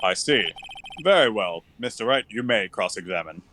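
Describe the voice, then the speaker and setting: A man voicing a solemn, mildly puzzled older judge in a live game dub, deliberate and formal. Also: on the low side, lively intonation, sharp tone, slight background noise.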